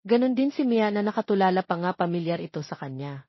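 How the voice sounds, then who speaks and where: A woman's voice: medium pitch, animated, fast, dry recording. A woman with a Filipino accent reading a scripted romance story as an audiobook narrator. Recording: slightly dull, lo-fi recording.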